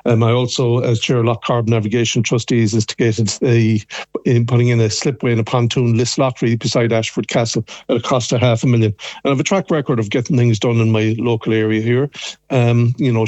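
A mature man, a native Irish speaker with a west of Ireland accent, answering a radio interview haltingly as a local councillor on a phone line. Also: mid-range voice, a little mellow, no room sound. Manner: halting, repeats words.

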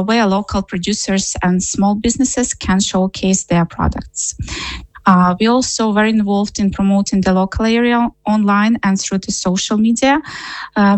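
A woman's voice: mid-range voice, no echo, rough recording. Woman, earnest, dry sound. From Ukraine. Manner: earnest, with frequent uh fillers. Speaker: interviewee, tourism manager. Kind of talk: radio interview.

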